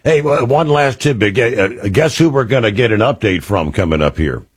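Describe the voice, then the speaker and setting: A man's voice: mid-range voice, expressive, moderate pace, breathy edge, no room sound, clean studio sound. A man hosting a radio show, easygoing and laughing often as he chats and asks questions. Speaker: radio host. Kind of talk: casual radio banter and interviewing.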